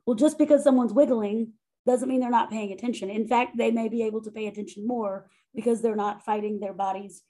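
A woman with a loud voice who talks through her teaching practice in a conversational interview, often pausing, repeating words and checking in with "right?". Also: on the high side, fairly even intonation, poor audio. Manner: thoughtful, self-correcting, frequent "right?" and "um". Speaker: faculty member and monograph author.

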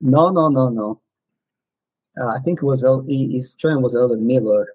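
A man in his forties, a non-native English speaker, casually reminiscing in an interview with frequent pauses and fillers. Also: medium pitch, a little mellow, slightly rough, no room sound, poor audio. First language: not English. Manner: hesitant, many fillers and restarts. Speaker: podcast guest, former missionary.

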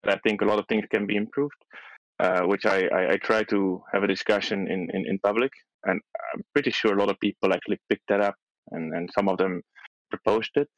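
A young man with a low voice, whose first language is Dutch, chats as a podcast guest. He often restarts his sentences and laughs softly between thoughts. The recording is dry and close. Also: fairly even intonation, a little fast, dry recording, low-quality recording. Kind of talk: casual chat.

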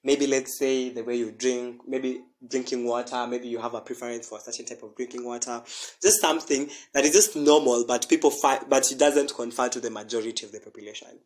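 A woman's voice: very deep voice, steady tone, fairly quick, hardly any pauses, darkish tone. A woman hosting a casual podcast interview, agreeable and often echoing the guest with small affirmations. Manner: agreeable, frequent backchannels, repeats guest's words. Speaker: podcast host.

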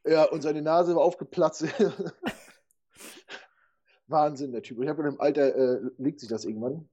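A man co-hosting a casual football podcast, telling quick anecdotes with a laugh. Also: fairly high pitch, fairly even intonation, dark tone, breathy edge. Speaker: podcast co-host. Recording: dull lo-fi sound.

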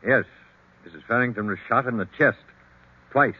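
An actor playing a hard-nosed, suspicious police captain who questions curtly and accusingly, in 1940s radio drama style. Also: male voice, mid-range voice, normal speed, husky, natural voice. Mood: accusing, firm. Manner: curt, accusatory interrogator. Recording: muffled, phone-like old radio recording.

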